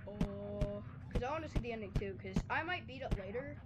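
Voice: mid-range voice, lively intonation, fairly quick, loud music behind the voice. A child making casual, off-the-cuff commentary as a YouTuber reacting to game videos.

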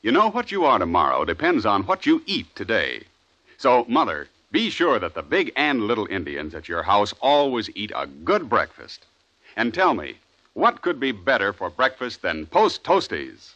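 Bright, very animated vintage American radio announcer. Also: male voice, fairly low pitch, moderate pace. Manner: polished, emphatic salesmanship. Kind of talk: 1950s radio announcing and sponsor pitch. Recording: dull old radio broadcast recording.

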